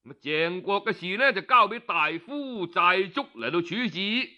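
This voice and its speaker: A man's voice: sits high, lively intonation, moderate pace, bright, forceful tone. A man telling a historical tale in Cantonese, in a theatrical traditional storytelling style that addresses listeners directly. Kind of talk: dramatic traditional oral storytelling.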